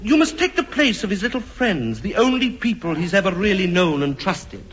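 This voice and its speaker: An actor playing an earnest, pompous doctor with formal, deliberate phrasing in a 1950s radio comedy. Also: male voice, sits high, big pitch swings, fairly bright tone. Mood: earnest, advisory. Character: earnest, pompous male doctor with a childish streak. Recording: noisy, dull old radio recording with clicks.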